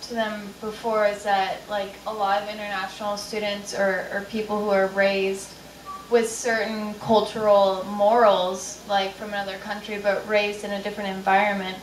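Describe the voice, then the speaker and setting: A young woman from the Philippines sharing her story in a casual group discussion, talking with lots of fillers. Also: mid-range voice. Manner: chatty, many fillers. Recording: roomy, distant mic, noisy.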